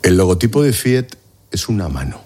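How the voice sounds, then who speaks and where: A man's voice: deep voice, sing-song, moderate pace, warm tone, breathy edge. A man interviewing guests for a TV report, asking direct, probing questions.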